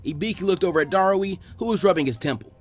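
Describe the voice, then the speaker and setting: A man reading fan fiction aloud as an expressive scripted narration. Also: high-pitched, quick pace.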